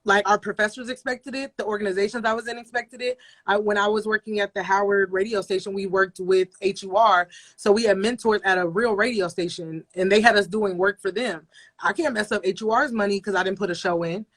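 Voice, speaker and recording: A young woman speaks casually and with emphasis as an interview guest, building her points by repeating phrases. Her voice sits at an ordinary middle pitch. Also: steady tone, brisk, a bit bright, poor audio. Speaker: radio personality guest.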